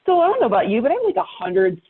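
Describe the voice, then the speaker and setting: A woman's voice: high-pitched, huge pitch swings, rapid-fire, breathy edge. Woman chatting over a phone-like line. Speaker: guest, story podcaster. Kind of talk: casual conversational interview. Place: home workspace studio with sound panels. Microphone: microphone with preamp, over online call. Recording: thin phone-like online call audio.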